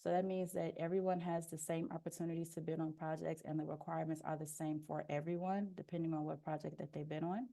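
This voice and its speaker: A soft-spoken woman walks viewers through an informational webinar. Her voice sits a bit low and carries a gravelly fry. She explains steadily with plenty of "um"s, speaking through a headphone mic on a video call. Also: fast, hardly any pauses. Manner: explanatory, steady, with frequent "um" fillers. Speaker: deputy procurement officer presenting a webinar.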